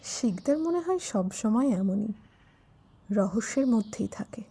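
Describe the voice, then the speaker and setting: A woman acts an uneasy investigator in a thriller audio story. Her voice is fairly high yet very mellow, hushed and breathy. She draws her words out slowly, full of suspicion and intrigue. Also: animated. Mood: hushed, intrigued. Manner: hushed, slow, breathy dramatic delivery. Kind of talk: dramatic audio story acting.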